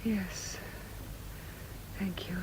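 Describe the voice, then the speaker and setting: An actress playing a tormented, jealous, obsessive woman in a vintage British radio drama, with a British accent and intense, halting, theatrical delivery. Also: darkish tone, a little hiss or hum, low-quality recording. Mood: drained confession.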